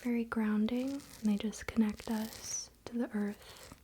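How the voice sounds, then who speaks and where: A woman whispering breathily and softly in an unhurried, casual, chatty ASMR style, close to the microphone. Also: fairly high pitch, fairly even intonation, a little hiss or hum. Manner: unhurried, soft-spoken, informal. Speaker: ASMR creator and crystal seller.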